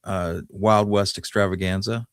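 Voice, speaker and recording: A man with a low-pitched voice talks casually as the co-host of a podcast. He is laid-back and conversational. The sound is dry, without room echo. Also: flat delivery, a little slow, clean tone. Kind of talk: casual chat.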